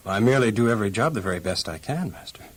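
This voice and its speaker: An actor playing a humble, devout young household servant in a children's audio Bible drama, deferential, modest and earnest. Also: male voice, lowish voice, expressive, rapid-fire.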